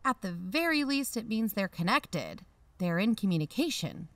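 A woman playing an excitable amateur investigator who hosts a podcast, in a scripted audio drama. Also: mid-range voice, normal speed, no echo. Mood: animated, scornful. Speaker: podcast host.

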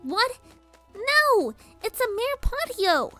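A scheming little pony girl with a high, swooping voice. She is sassy and quick to argue, like a bratty cartoon villain. Music plays under her. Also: sing-song, heavily acted. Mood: indignant. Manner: sassy, defensive. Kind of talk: animated-style fan audio drama.

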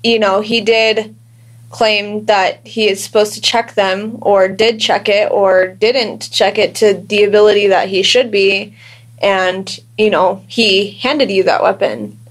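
An American woman investigator talking on the phone in a measured, noncommittal way. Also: mid-range voice, fairly even intonation. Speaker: police investigator. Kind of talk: phone conversation.

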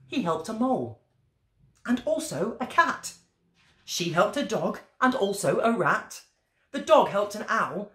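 A woman's voice: medium pitch, fairly bright tone, roomy sound, pitched above the performer's own voice. A woman voicing an affectionate storybook mouse wife who explains things tenderly.

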